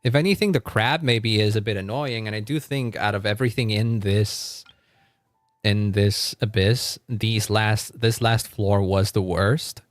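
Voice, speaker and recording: A man's voice: on the low side, measured pace, no room sound, clean studio sound, faint music behind. A man chatting casually on a gaming livestream, rambling and often repeating his phrases. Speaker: live streamer.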